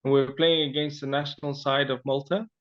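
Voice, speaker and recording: A man's voice: medium pitch, level tone, a little fast, a bit bright, highs cut off. A man from Zimbabwe, an interview guest, telling his personal story with frequent fillers and restarts.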